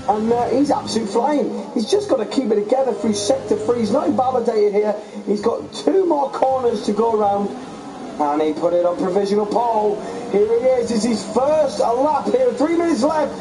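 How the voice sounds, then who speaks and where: A man losing his voice, calling a sim race as lead commentator in a lively, chatty play-by-play. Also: extremely high pitch, rough recording.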